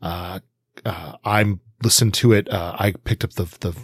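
A man co-hosting a podcast in a casual, hesitant way, with frequent ums and pauses. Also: low-pitched, fairly even intonation, breathy edge, dry recording, studio quality. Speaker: podcast co-host.

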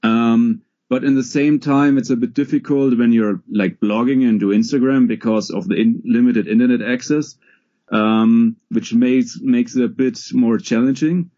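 Man, mellow voice, dull lo-fi line, relaxed guest. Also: medium pitch, normal speed. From Germany. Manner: relaxed, with many fillers and restarts. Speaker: interview guest. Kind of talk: casual conversational interview.

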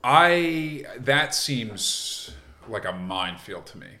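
A man from the United States hosting a casual chat, relaxed and informal, given to quick agreements and the odd swear. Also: mid-range voice. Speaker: podcast host.

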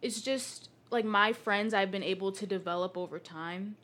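A woman's voice: mid-range voice, normal speed, a bit bright. A teenage girl in casual conversation, chatty and laughing often, with many "like" fillers. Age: teens. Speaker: guest. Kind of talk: casual chat.

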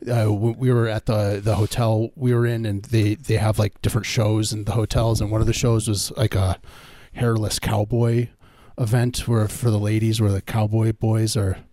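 Male comedy podcaster, slightly deep and relaxed, halting deadpan banter. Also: no room sound. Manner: hesitant, deadpan absurd riffing.

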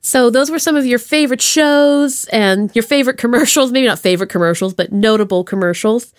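A woman's voice: a little high, brisk. A woman hosting a podcast in casual conversation, playful and self-deprecating. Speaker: podcast host. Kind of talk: casual interview chat.

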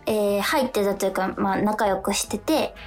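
A woman's voice: mid-range voice, normal speed. A woman co-hosting a radio show, speaking in a polite, casual conversational way. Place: radio studio. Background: background music.

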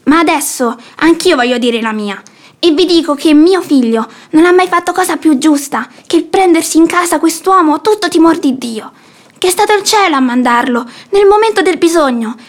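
A woman's voice: high voice, normal speed, natural voice. An actress playing an imperious, sanctimonious old mother-in-law in a theatrical radio play, haughty and preachy. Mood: scolding, outraged.

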